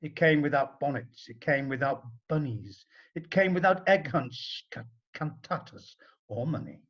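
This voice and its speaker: A man narrating a rhyming story in an expressive, dramatic storybook style with deliberate phrasing. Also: medium pitch, husky, highs cut off.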